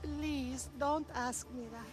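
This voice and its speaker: A contrite old Greek grandmother and oracle in a game drama. Her voice is high-pitched, solemn and pleading, with music clearly behind it. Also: fairly even intonation, slow. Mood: fearful. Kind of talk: video game cutscene acting.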